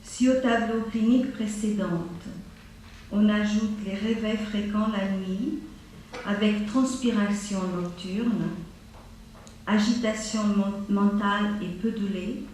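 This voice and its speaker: A woman teaching a class on acupuncture, going slowly and steadily through a list of treatment points. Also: mid-range voice, a little mellow, distant mic, slight background noise. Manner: slow, measured delivery, listing items one by one. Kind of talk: lecture listing treatment points. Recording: very echoey room, voice from afar.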